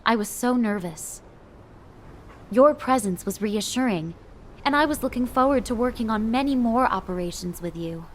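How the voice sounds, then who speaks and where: An actress performs a devoted military adjutant from a video game. Her voice sits a bit high. She speaks with formal sincerity and steady resolve, as in a mission briefing. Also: moderate pace, slight background noise, close to an everyday voice. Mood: warm, nostalgic. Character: earnest, devoted female military tactical adjutant.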